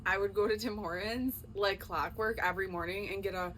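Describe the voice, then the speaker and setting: A woman's voice: mid-range voice, steady tone, fairly quick, a bit bright, a little hiss or hum, low-quality recording. A young woman co-hosting a casual chat podcast, chatty and giggly, with jokey asides. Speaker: podcast co-host.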